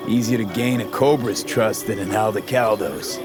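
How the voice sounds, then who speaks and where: Guy acting as gruff weary merc in a video game, low voice, terse and guarded, loyal underneath, music under it. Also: moderate pace, natural voice. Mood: sincere, reflective. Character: weary male mercenary, former nomad, gruff but loyal.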